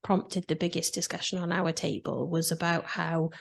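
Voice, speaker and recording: Gravelly woman reporting back. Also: on the low side, fairly even intonation. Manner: measured, reporting back for her table. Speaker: health professional participant. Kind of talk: group discussion feedback.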